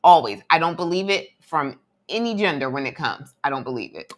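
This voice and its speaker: A woman's voice: deep voice, big pitch swings, fairly quick. A woman in her twenties co-hosting a podcast, blunt and emphatic in her opinions. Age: 20s. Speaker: podcast co-host. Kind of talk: casual commentary chat.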